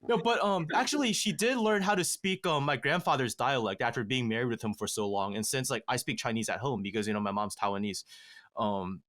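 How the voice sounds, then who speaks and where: A man in his thirties telling a family story in casual podcast talk, measured and halting with frequent fillers, recorded on a studio mic in a small treated room. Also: mid-range voice, a bit bright. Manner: measured, many "um" fillers and restarts. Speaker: podcast guest. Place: small home studio with acoustic foam panels. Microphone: studio mic with headphones.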